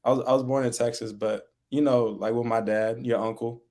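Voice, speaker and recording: A young man talking casually as a podcast guest, relaxed and full of fillers, raised in the American Midwest. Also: medium pitch, a little fast. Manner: relaxed, laid-back, many fillers like "like" and "you know". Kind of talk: casual interview chat.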